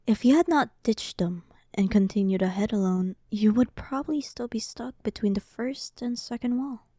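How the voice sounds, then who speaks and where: A woman playing a dominant, sarcastic demon queen in a roleplay audio drama, soft-voiced, teasing and condescending. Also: fairly high pitch, moderate pace, dry recording, studio quality, lightly acted. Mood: smug, condescending.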